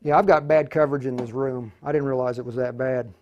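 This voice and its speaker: A man hosting an unscripted live tutorial, speaking haltingly and often muttering to himself as he works. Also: mid-range voice, steady tone, a little mellow, lo-fi sound. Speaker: host of a live radio tutorial.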